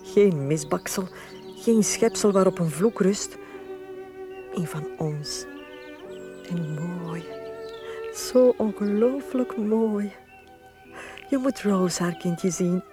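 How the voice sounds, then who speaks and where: A woman with a Flemish accent acting a gentle, adoring young wife of small stature, full of wonder, in a radio drama. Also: medium pitch, slow, pauses often, music in the background, natural voice. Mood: loving, hopeful.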